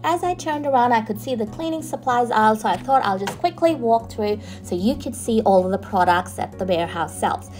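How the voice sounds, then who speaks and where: A woman's voice: a little high, animated, brisk, few pauses, a little mellow, faint music behind, rough recording. A young adult woman narrating a casual shopping tour as a vlogger, chatty and upbeat as she points out products and prices. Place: in a car on the motorway.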